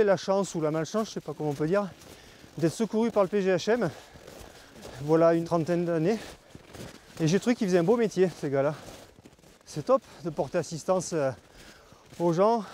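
A man's voice: high-pitched, a little hiss or hum. A man in his fifties, a mountain rescuer, chatting casually and jokingly outdoors. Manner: relaxed, joking, with hesitations. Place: snowy mountain forest outdoors.

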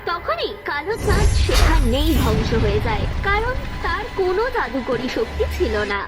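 A woman narrates an animated story from a script in a very high voice. Her intonation swings widely from line to line, and music plays clearly behind her. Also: normal speed. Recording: music under the voice.

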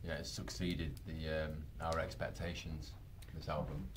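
A man's voice: extremely low pitch, little pitch movement, normal speed, background noise. A man answering interview questions carefully and thoughtfully, with hesitant fillers, speaking into a handheld mic in a hotel conference room. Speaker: band member.

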